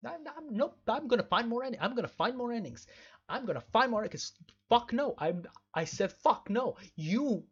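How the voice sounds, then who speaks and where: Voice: mid-range voice, animated, moderate pace, husky. A let's play host with a middle-register voice of unclear sex, speaking through a dull, lo-fi microphone. The commentary rambles along emphatically, with phrases repeated and a mock-stern delivery. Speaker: video game streamer and host.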